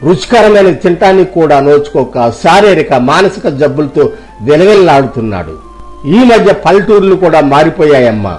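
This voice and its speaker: A kindly, philosophising village uncle of middle age, played by a man, from a moral story drama. His voice sits rather high for a man, and he reassures and moralizes earnestly. Soft music plays behind him. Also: animated, fairly quick, lightly acted, rough recording. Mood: earnest, moralizing.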